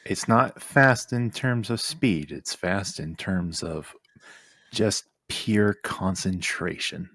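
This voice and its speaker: Male co-host, low register, relaxed conversational banter, no room sound. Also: slow, dry recording. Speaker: podcast co-host. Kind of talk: casual banter and opinion.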